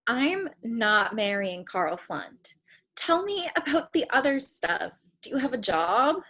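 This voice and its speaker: A woman's voice: a little high, sing-song, slow pace, a bit bright, exaggerated voice. A woman playing an idealistic, stubborn young girl in a comedy play reading, emphatic and indignant. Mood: questioning. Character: idealistic, stubborn young girl meeting her future selves. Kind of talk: comedy play read aloud.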